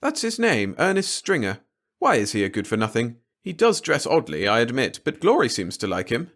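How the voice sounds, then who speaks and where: A man's voice: mid-range voice, huge pitch swings, normal speed, fairly bright tone, voice pushed up. A man voicing a placid, dreamy, materialistic wife and mother in a storybook reading. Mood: dreamy, pleased.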